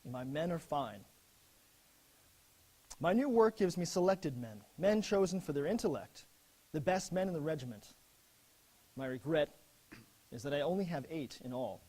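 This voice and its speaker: A man playing an earnest First World War army officer, giving a solemn, measured dramatic reading of letters and verse. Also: fairly high pitch, lively intonation, warm tone. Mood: proud, earnest.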